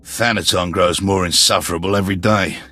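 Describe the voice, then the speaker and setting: An actor playing a scornful, grumbling Imperial general in a video game, with a sardonic delivery. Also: male voice, low-pitched, steady tone, brisk, sharp tone.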